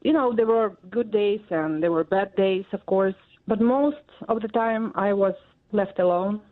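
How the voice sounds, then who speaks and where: A woman in her forties from Russia, speaking English with a Russian accent as an interview guest, halting and full of fillers. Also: mid-range voice. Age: 40s. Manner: halting, many fillers and pauses. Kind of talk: interview answers. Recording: tinny phone line.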